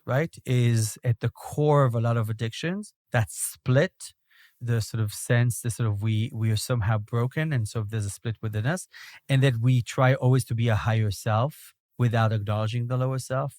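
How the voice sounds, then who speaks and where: A man's voice: medium pitch, steady tone, normal speed, a little mellow, no room sound, clean studio sound. A man from Belgium with a Belgian accent, a rabbi talking as a podcast guest in a discursive way, often checking in with "right?". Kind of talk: reflective conversational interview.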